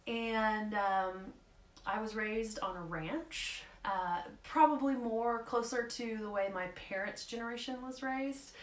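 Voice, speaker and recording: A woman, slightly hoarse, giving a casual live talk in a nervous, rambling way with lots of fillers. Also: fairly high pitch, fairly even intonation, slow, roomy sound. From Oklahoma.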